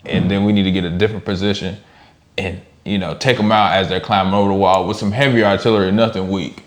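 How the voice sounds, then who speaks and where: A man's voice: deep voice, fairly even intonation, quick pace. A man co-hosting a reaction show, talking in an informal, slangy, off-the-cuff way. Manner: informal and slangy, chuckles while making his points. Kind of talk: casual chat, off-the-cuff commentary.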